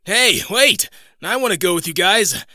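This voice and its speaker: A man's voice: sits high, lively intonation, moderate pace, pushed and bright, no room sound, studio quality, full character voice. A man playing a laid-back, cocky teenage boy hero in an audio drama, with a casual, wisecracking manner. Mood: eager, cocky. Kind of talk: fan audio drama acting.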